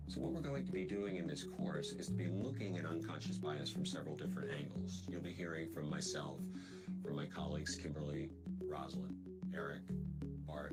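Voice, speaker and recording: Male trainer in his 50s, low voice, quick measured delivery, loud guitar music underneath. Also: low-quality recording. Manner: measured, explanatory. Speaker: diversity trainer. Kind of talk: scripted course narration.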